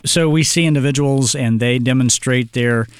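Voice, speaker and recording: A man from Oklahoma leads a Bible discussion in a measured, even delivery and reads scripture passages aloud. His voice is of middle pitch. It is recorded close and dry. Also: clear, resonant tone, dry recording. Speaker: podcast host, Bible teacher.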